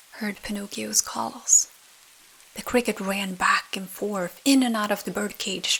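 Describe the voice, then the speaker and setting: A woman with a soft, breathy near-whisper narrating an ASMR bedtime story close to the mic. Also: medium pitch, poor audio. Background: rain sounds.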